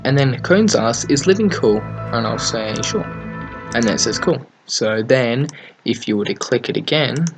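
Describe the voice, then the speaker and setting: A man casually hosting a software tutorial, thinking aloud in an offhand way as he works at his computer. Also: medium pitch. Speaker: tutorial host. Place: at a computer desk, screen recording.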